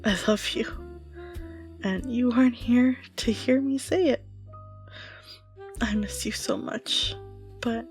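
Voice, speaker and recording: A woman playing a grieving lone survivor bidding a lost lover farewell in a tender, halting audio roleplay monologue, often dropping to a whisper. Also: a little high, faint music behind.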